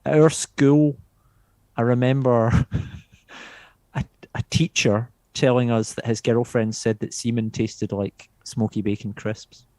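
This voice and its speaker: Male comedy guest, mid pitch, informal wry storyteller, very dry recording. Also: little pitch movement, takes it slowly, warm tone, no room sound. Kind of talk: casual chat.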